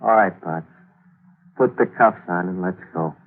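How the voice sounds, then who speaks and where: An actor playing a hard-bitten young murderer in a 1940s radio thriller, speaking in a terse, clipped way. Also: male voice, low voice, a little slow. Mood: wary, terse. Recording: muffled, tinny 1940s radio recording.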